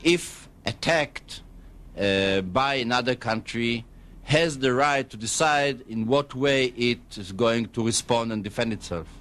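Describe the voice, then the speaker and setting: Male spokesman guest, smooth and resonant, very slow, formal, halting delivery. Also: medium pitch, a bit bright. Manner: halting, measured, hesitates very often. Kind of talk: formal diplomatic radio interview answers.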